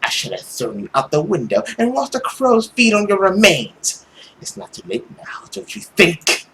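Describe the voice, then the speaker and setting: A cruel and bitter mother from a visual novel as a man puts her on, scolding harshly. The delivery is dramatic, with pitch that swings widely over faint noise. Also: wildly swooping pitch, normal speed, pushed and bright, exaggerated voice. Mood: bitter rage. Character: cruel, furious, abusive mother, bitter and self-pitying.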